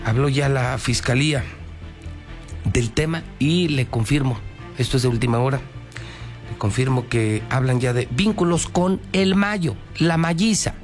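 A man's voice: medium pitch, measured pace. A man with a Mexican accent presenting radio news with commentary, emphatic and colloquial, often repeating phrases for weight. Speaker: news anchor. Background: music.

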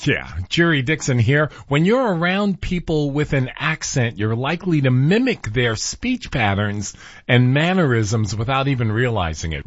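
Wry man with a lively voice. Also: mid-range voice, no echo. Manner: wry, chatty storytelling. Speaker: radio segment host. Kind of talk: humorous radio segment. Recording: dry lo-fi radio audio.

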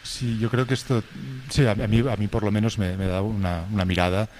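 Guy, lowish voice, stops and starts but gets emphatic, faint hum. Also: steady tone, a little mellow. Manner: halting, emphatic. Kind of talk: casual conversation.

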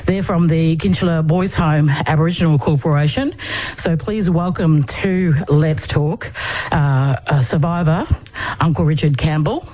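Aussie lady radio host, warm mellow voice, gently asks questions, studio. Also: sits low, a little slow, poor audio. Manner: friendly, gently probing, with fillers. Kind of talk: radio interview.

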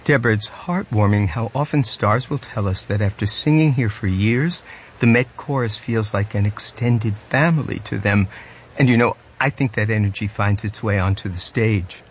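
Guy co-hosting a radio broadcast, lowish voice, bit of ambient noise behind him. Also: normal speed. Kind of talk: broadcast commentary.

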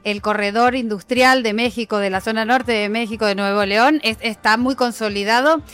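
A middle-aged woman, an airline sales director, answering in a measured business interview with occasional fillers and restarts. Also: a little high, fairly bright tone, smooth, soft background music. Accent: Mexican Spanish with a foreign tinge.

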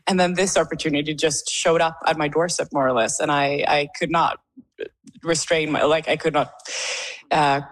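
Woman, low voice, searching for words. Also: steady tone, moderate pace. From Sweden. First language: Swedish. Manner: thoughtful, searching for words with many restarts. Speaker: art student in a summer program. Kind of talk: casual interview answers.

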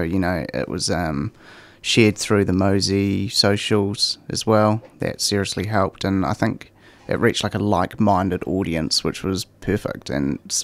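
A young man with a New Zealand accent, chatting as a guest on a talk show with a relaxed manner and lots of ums. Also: sits low, no room sound. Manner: relaxed, many ums and fillers. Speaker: guest, cycling content creator. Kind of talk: casual interview chat.

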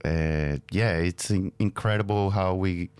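A man from Venezuela with a Venezuelan Spanish accent, a native Spanish speaker, co-hosting a radio show in an earnest, halting way. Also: low-pitched, a little mellow, clean tone, dry recording. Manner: earnest, halting with restarts and fillers. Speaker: radio co-host. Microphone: studio microphone.

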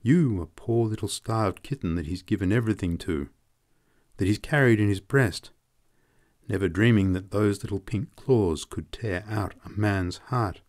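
A man's voice: medium pitch, lively intonation, normal speed, darkish tone, voice pushed up, close to an everyday voice. Lovesick, bitter man, raised and heated, read by a male narrator. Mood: sneering. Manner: pleading and sneering by turns, emotional. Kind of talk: audiobook character reading.

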